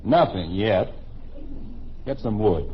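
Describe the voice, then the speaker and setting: Husky, wisecracking radio dad. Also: male voice, fairly low pitch, lively intonation, slow, full character voice. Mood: brisk, wry. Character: wisecracking, impatient dad and fishing buddy. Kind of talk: old-time radio comedy acting. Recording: muffled old radio recording.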